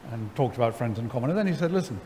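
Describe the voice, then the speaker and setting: A middle-aged man, seated on stage, telling a story to an audience in a wry, animated way, full of ums and restarts. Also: mid-range voice, rapid-fire, a little hiss or hum. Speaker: lecture guest. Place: large church sanctuary before an audience. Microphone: headset mic. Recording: hall reverb, faint ambience.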